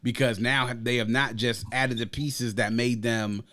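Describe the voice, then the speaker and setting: Male podcast host, mid-pitched, casual review chat, rambling sports comparisons. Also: fairly even intonation, a little slow, fairly bright tone. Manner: long rambling sports analogies; leads the discussion, asks others for picks.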